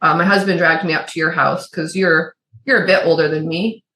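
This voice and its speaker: Co-host, middle-aged woman, faint Yooper accent, lowish voice, chatty and rambling, roomy echo. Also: steady tone, brisk, bright, forceful tone. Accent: Yooper, suppressed. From Upper Peninsula of Michigan. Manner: chatty, rambling with restarts and asides. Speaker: podcast co-host. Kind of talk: casual chat.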